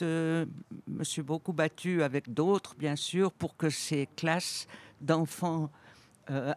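A woman's voice: sits low, a little mellow. A woman of eighty, a guest on a radio interview, recalling her career thoughtfully with frequent hesitations and restarts. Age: 80s.

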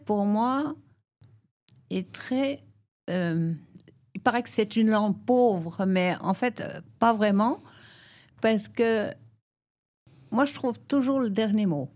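Woman, slow and halting, mellow voice. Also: mid-range voice. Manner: halting, repeats words. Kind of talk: asking a question.

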